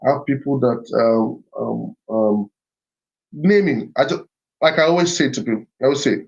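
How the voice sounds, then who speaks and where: A man's voice: medium pitch, normal speed, gravelly, dull audio. A man hosting a live stream as a business mentor, talking in a repetitive, urging way with many fillers. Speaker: livestream host and business mentor.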